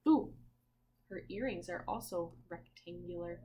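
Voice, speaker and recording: A woman's voice: fairly low pitch, unhurried, background noise. A woman teacher reading a picture book aloud to young children in a sing-song way, prompting listeners with questions.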